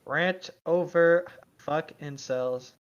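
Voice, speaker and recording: A man's voice: on the high side, very slow, slight background noise. A man casually reading stories aloud and bantering as a co-host, blunt and joking, on a lo-fi recording. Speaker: co-host reading online tabletop gaming horror stories. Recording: dull, lo-fi microphone sound.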